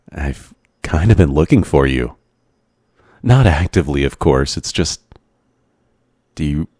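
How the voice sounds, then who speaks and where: A man playing a shy, obsessively smitten young survivor in an ASMR boyfriend roleplay, speaking softly and hesitantly, close to the microphone. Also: very deep voice, no echo. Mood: earnest, bashful. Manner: hesitant, stammering, soft-spoken awkward delivery. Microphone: close microphone, dry sound.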